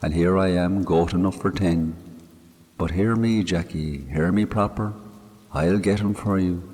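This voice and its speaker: A man acting out a grieving, brooding Irishman who talks low and half to himself over a fresh grave. His voice sits a little higher than the deep narration around it, and it carries a long hall reverb in this storybook telling. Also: a little slow, dark tone, slightly rough. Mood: tender, rueful.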